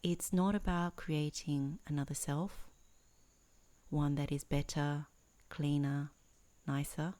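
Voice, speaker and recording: A woman teaching in a guided meditation, speaking in measured, earnest phrases with pauses between them. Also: low-pitched, flat delivery, mellow. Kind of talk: guided meditation introduction, Dhamma talk.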